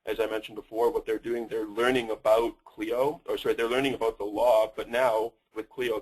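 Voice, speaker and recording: A man's voice: lowish voice, slightly rough, rough recording. A man with a Canadian accent presenting a company in a webinar, talking with many fillers and restarts.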